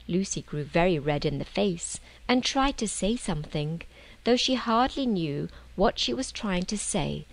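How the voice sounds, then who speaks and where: A woman narrating a children's storybook in an expressive, measured audiobook style. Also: on the low side, poor audio.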